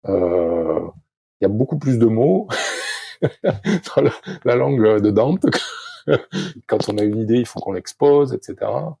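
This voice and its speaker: A middle-aged French-speaking man, a sailing coach as podcast guest, talking slowly with many fillers and restarts. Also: lowish voice, vocal fry, mellow, husky, dry recording. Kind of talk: podcast interview, thinking aloud.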